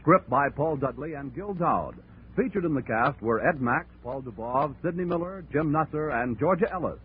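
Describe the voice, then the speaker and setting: A man's voice: a little high, animated, normal speed, a little hiss or hum. A man making formal announcements on an old radio show. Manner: smooth, formal announcer delivery. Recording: tinny old radio broadcast.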